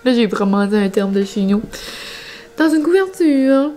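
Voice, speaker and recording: A woman's voice: fairly high pitch, expressive, a little slow, warm tone, a little hiss or hum. A young adult woman from New Brunswick with a northern Acadian French accent, chatting casually to her viewers in a rambling, colloquial way. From New Brunswick, Canada. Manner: rambling, colloquial regional speech with filler words. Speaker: vlogger.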